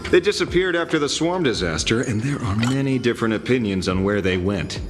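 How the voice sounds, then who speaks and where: A man's voice: mid-range voice, expressive, fairly quick, over music, lightly acted. A man playing a world-weary, skeptical former ranger in a video game, wry and laconic. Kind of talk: scripted video game dialogue.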